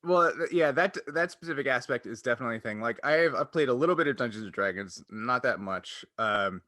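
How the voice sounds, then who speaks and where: Bright-voiced man hosting casual podcast chat. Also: mid-range voice, expressive, brisk, no room sound, clean studio sound. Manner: rambling, self-interrupting explanations. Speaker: podcast host and game master.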